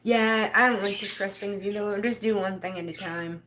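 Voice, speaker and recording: A woman's voice: mid-range voice, fairly even intonation, moderate pace, a bit bright, slight background noise. A woman in her fifties chatting casually on a livestream, rambling and laughing often. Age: 50s. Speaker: livestream host.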